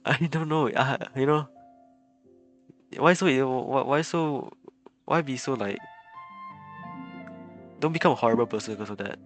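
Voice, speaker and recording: Guy streaming a game, chatting casually, stumbles and repeats words, average pitch, faint game music behind. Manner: halting, repeats words, chuckles often. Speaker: live streamer.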